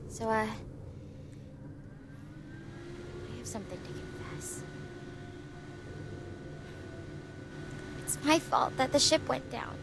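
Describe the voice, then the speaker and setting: A woman playing a stranded, guilt-ridden young astrophysics researcher in a sci-fi drama, speaking softly and hesitantly in a confiding way. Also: on the high side, expressive, a little fast, creaky, very noisy. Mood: guilty, confessing. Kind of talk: conversational sci-fi roleplay.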